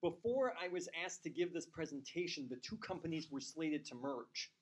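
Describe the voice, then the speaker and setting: A man's voice: fairly high pitch, lively intonation, normal speed. A man giving a talk to a community forum, chatty and prone to repeating words as he starts a sentence. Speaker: guest speaker. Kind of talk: public talk with casual chat before.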